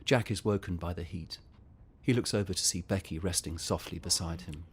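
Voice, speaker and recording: Male actor as deep, grim storyteller for audio drama; suspenseful scripted narration over noticeable background noise. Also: a little fast, close to an everyday voice. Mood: grim, measured.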